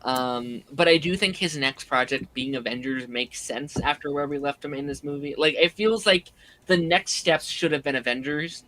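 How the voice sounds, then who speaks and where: A man who is a little hoarse from being under the weather, chatting casually on a podcast and filling his talk with "like". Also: fairly high pitch, normal speed, bright, forceful tone. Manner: rambling, many "like" fillers.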